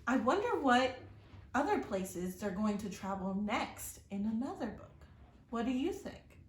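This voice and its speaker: A woman with a fairly high, very animated voice reads a children's story aloud in a roomy, echoey space. She speaks expressively, like a teacher, and turns to her young listeners with questions and asides. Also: unhurried. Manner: expressive, acts out exclamations and gasps. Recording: roomy echo, faint background noise.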